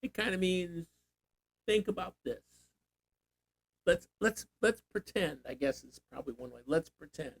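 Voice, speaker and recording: A man's voice: sits high, expressive, normal speed, creaky, long pauses. An older man, a grandfather, leading a casual Bible reading, thinking aloud and prompting with questions. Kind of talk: casual teaching chat.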